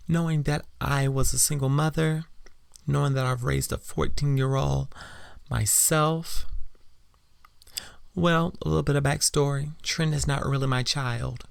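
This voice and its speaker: A man's voice: mid-range voice, gravelly, dry recording, clean studio sound. A man telling a first-person dramatic story as a woman character, in a reflective, theatrical manner. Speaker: podcast storyteller.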